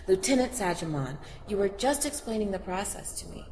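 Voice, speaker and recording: A woman's voice: mid-range voice, animated, brisk, warm tone, lightly acted. A woman playing an earnest, intrepid field reporter giving a live report from aboard a spaceship in an audio drama. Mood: brisk, reporting. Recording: noisy spaceship ambience behind voice.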